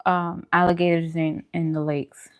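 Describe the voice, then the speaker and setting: A woman with a slightly low voice talks informally to her viewers. She is chatty and colloquial, in the manner of a casual eating livestream where she answers the chat as she goes. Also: little pitch movement, moderate pace, clean tone. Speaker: vlogger hosting a mukbang livestream.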